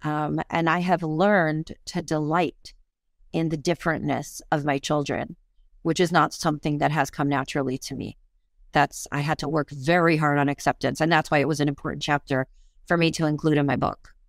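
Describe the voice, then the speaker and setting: A woman's voice: low voice, steady tone, moderate pace, no room sound, studio quality. A woman in her fifties, a parenting coach and author, talking earnestly and reflectively in a podcast interview, with self-deprecating honesty.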